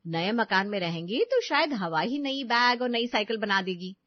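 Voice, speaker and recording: A woman's voice: fairly high pitch, big pitch swings, normal speed, dry recording, muffled recording. A woman playing a fed-up wife and mother in a family story, taunting and complaining with sarcasm. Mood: sarcastic. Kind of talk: acted Hindi audio story dialogue.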